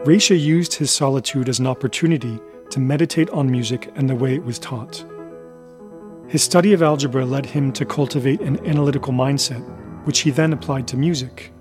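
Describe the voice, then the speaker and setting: A male narrator reads a scripted documentary piece in a medium-pitched voice. Soft music sits faintly behind his words.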